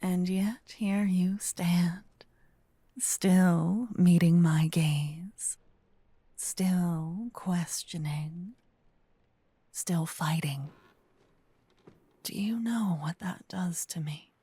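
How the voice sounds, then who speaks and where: A woman voicing a gentle, possessive old female vampire in an ASMR roleplay, murmuring and whispering close to the microphone. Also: on the low side, steady tone, no room sound, heavily acted. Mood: teasing, intense. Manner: breathy, slow whispering and murmuring. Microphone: close binaural microphone.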